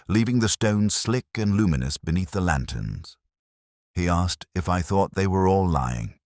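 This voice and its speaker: A man reading a scripted first-person detective story in a measured, literary, brooding way. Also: low-pitched, breathy edge, dry recording, studio quality. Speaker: narrator.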